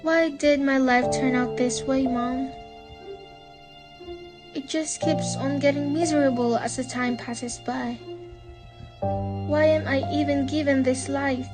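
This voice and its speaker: A woman's voice: sits high, fairly even intonation, takes it slowly, pauses often. A young woman in a student radio drama, playing a distressed, pleading orphaned girl. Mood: sorrowful. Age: young adult. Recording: music and crowd sounds behind.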